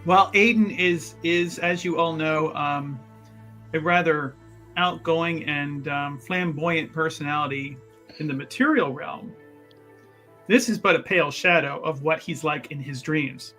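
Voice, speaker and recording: A man with a bright, forceful, slightly high voice describes his tabletop character. He takes his time with grandiose, amused phrasing, over faint music. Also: a little slow. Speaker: player in a tabletop game.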